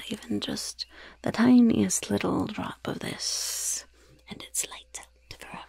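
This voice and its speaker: A woman voicing a gleeful, mischievous goblin shopkeeper in a breathy, raspy whisper, slowly and slyly savoring every curse and poison in an ASMR roleplay. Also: medium pitch, no room sound, exaggerated voice. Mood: morbid relish. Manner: slow, savoring, slyly polite.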